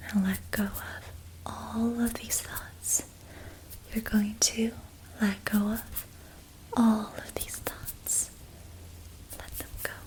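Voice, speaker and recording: A young woman guides an ASMR relaxation in a soft, breathy whisper. She speaks very slowly and evenly, and her voice sits a little high, in a flat, hushed tone. She repeats phrases softly and steadily. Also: low-quality recording. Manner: soft unvoiced whisper, slow repetitive soothing phrasing. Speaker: ASMR creator.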